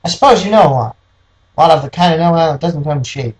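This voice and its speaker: A man playing a cynical newspaper man in his forties, talking gruff, slangy tough talk in a noir radio play. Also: fairly high pitch, expressive, fast talker, close to an everyday voice, low-quality recording. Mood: defiant.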